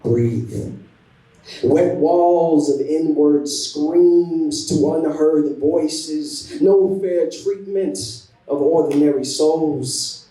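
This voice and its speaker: A man's voice: on the high side, drawn-out words, dark tone. A man giving a solemn recital of spoken word poetry. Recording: echoey room, distant microphone.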